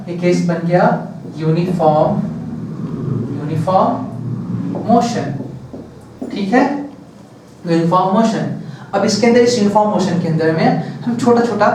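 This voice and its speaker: A male teacher lectures on physics at a board, his voice pitched high. He talks slowly and step by step, asks questions and answers them himself. He sounds distant from the mic, in a very echoey room with faint background noise.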